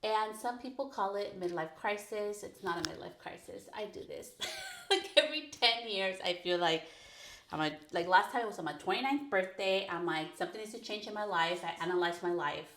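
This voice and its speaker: A woman in her thirties hosting a personal podcast, talking frankly and casually, full of "like" and quick laughs. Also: medium pitch, a bit bright. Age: 30s. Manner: chatty, frank, peppered with "like", laughs often. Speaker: podcast host. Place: her bedroom recording corner. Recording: echoey home room.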